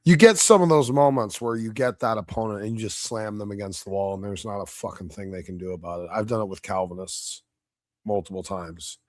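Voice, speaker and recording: Man, slightly hoarse, blunt, profane, combative, casual stream commentary, dry close sound. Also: on the low side, fairly quick, no room sound. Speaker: debate reviewer streaming live.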